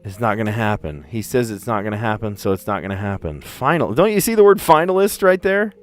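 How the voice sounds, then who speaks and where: A man's voice: fairly low pitch, lively intonation, fast talker, soft background music. A man from the US East Coast with a general American accent, hosting a puzzle livestream and chatting casually as he thinks aloud, with careful diction.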